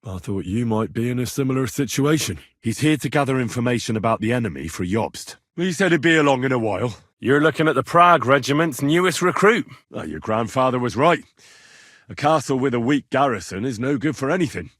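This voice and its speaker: A young medieval soldier for a video game, earnest and a little cheeky. His delivery swings freely in pitch, with a husky edge to the voice. The sound is close and dry, and he speaks plainly, as if in conversation. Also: expressive, brisk, a bit bright, no room sound. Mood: matter-of-fact, then defensive, spirited. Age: young adult. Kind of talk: video game character dialogue.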